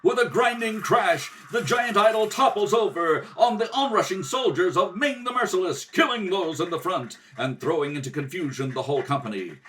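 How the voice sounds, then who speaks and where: A man's voice: high-pitched, animated, moderate pace, hardly any pauses, a bit bright, recorded from a distance. A man giving theatrical, dramatic narration in the style of an old-time radio adventure serial. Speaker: narrator, rehearsal reader. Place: kitchen table at home. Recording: home recording, slightly off the mic.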